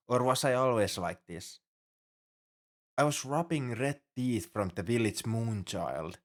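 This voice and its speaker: Casting: male actor for a paranoid alien shipmate, lowish voice, formal and fretful, for a sci-fi roleplay audio drama. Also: fairly bright tone. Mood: embarrassed, flustered. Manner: fretful, formal, over-earnest, flustered. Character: anxious, paranoid male alien crewmate fussing over human.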